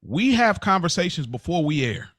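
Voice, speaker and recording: A man's voice: on the high side, very animated, moderate pace, fairly bright tone, no room sound, clean studio sound. A man in casual, opinionated podcast discussion, talking slangily and repeating phrases for emphasis. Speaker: podcast co-host.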